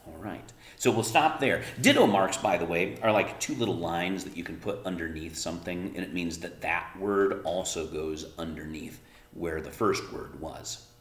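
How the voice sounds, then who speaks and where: A man's voice: sits low, normal speed. A man reading a children's story aloud expressively and emphatically, adding casual explanations as he goes. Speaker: read-aloud narrator explaining words to children. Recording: roomy, echoing room sound.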